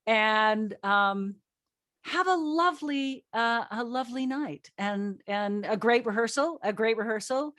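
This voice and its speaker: A woman's voice: a little high, a little slow, a bit bright, no room sound, clean studio sound. A woman hosting a casual chat with a cast, effusive and gushing as she talks. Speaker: host and writer of an audio drama.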